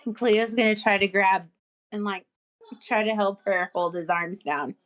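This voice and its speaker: A woman's voice: medium pitch, fairly even intonation, a little slow, fairly bright tone, low-quality recording. A woman talking casually as a tabletop game player, describing her moves.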